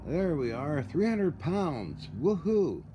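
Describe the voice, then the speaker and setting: A man giving a casual, hands-on walkthrough of equipment in a halting, muttering way with folksy asides, recorded on a handheld device in a workshop. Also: on the high side, sing-song, highs cut off. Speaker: radio restorer. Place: indoor cluttered workshop. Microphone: handheld camera or phone, behind the lens. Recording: noisy handheld recording with room ambience.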